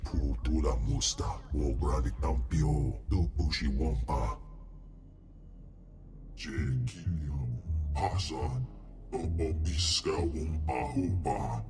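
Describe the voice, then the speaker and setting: A man voicing a huge slug-like alien businessman in a video game, with a booming, blustering delivery in an invented alien tongue. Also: slow, music in the background. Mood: blustering, imposing.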